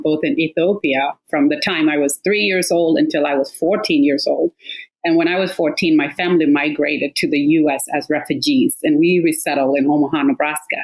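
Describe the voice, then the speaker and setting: A woman's voice: low-pitched, rough recording. A woman in her thirties from South Sudan, a guest author telling her story thoughtfully, with some hesitation and emotion. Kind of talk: conversational interview.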